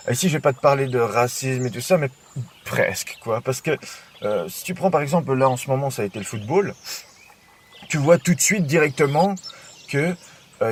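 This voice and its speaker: A man of ordinary pitch, talking informally and directly to the listener in a self-help monologue. He circles back and repeats his phrases as he explains. Faint birds and outdoor sounds sit quietly behind him. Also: a little hiss or hum. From Belgium. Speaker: podcast host.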